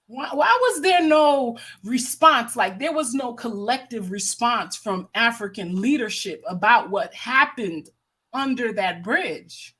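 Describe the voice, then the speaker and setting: A woman's voice: on the high side, animated, slow, a bit bright, husky. An American woman hosting a livestream with casual, impassioned commentary, stressing points by repeating her phrases. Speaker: livestream host.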